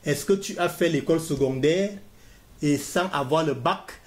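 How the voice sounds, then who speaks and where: A man's voice: on the high side, normal speed. A man giving a step-by-step tutorial, repeating key points as he fills in an online form. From Cameroon. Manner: talks viewers step by step through a form, repeating himself. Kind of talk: screen-recorded walkthrough.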